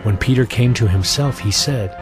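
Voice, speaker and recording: A man giving a scripted, solemn and measured narration for an audio drama of scripture. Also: medium pitch, music in the background.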